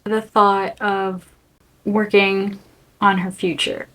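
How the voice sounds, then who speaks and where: A woman's voice: mid-range voice, level tone, a bit bright, a little hiss or hum, low-quality recording. Young woman reflecting candidly. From Brazil. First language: Portuguese. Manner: earnest, reflective, speaks in short halting phrases. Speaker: vlogger. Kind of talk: candid personal reflection to camera.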